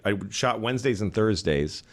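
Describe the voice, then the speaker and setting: Rambling mid-forties man, lowish voice. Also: animated, moderate pace. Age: 40s. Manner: rambling, self-interrupting, with sports analogies. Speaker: comedian guest. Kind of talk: casual podcast conversation.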